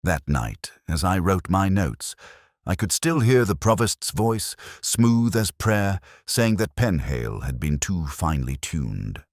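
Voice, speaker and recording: A very low male voice, dry and close, with a lot of movement in its pitch. a weary police inspector in an audio mystery drama, recounting his case in measured, literary phrases with a brooding, reflective air. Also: sing-song, dry recording, exaggerated voice. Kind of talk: scripted first-person mystery narration.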